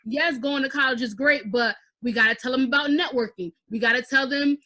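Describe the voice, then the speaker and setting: This is a young woman from the United States hosting a relaxed interview over a webcam at home. Her voice sits high and sounds bright. She talks in an animated way and switches into Haitian Creole partway through. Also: fairly quick. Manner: animated, gestures while talking, mixes in Haitian Creole. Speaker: host. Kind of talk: casual video-call interview. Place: living room, indoors. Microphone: video call webcam.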